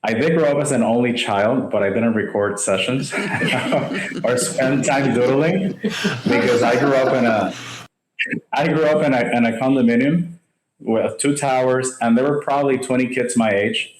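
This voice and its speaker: Man, forties, businesslike, measured, roomy echo. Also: medium pitch, noisy background. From Puerto Rico. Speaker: guest, home builder. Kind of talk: podcast interview. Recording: echoey room.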